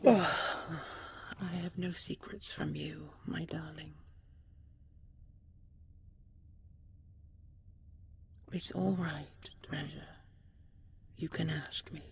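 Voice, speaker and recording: Female actor as regal, wistful dragon queen, intimate audio drama. Unhurried, breathy, hushed delivery over faint background ambience. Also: deep voice, steady tone, slight background noise, exaggerated voice. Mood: tender, reluctant. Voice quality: soft, breathy, often half-whispered. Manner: slow, measured, stately, with lingering pauses. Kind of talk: intimate audio roleplay for sleep, ASMR-like.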